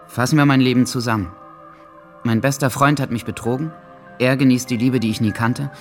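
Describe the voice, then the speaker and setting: A man's voice: medium pitch, steady tone, fairly quick, soft background music, close to an everyday voice. An actor playing a lonely, lovelorn teenage boy, mournful and self-pitying, in a German radio drama. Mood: bitter self-pity. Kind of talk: German radio docudrama monologue.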